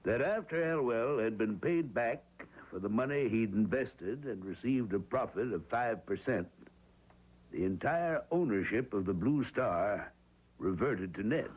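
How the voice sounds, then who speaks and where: Nervous evasive small-town man, old radio drama, low-ish voice, lots of pitch swing, dull old audio. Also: lively intonation, unhurried. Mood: hesitant. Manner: hesitant, full of 'well' and 'uh'.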